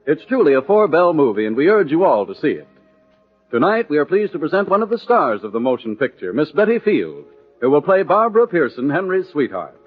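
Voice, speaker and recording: Man, vintage radio announcer, highish lively voice, promotional, thin phone-like archive sound. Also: fairly quick. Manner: showy, promotional. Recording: tinny 1930s radio recording with music.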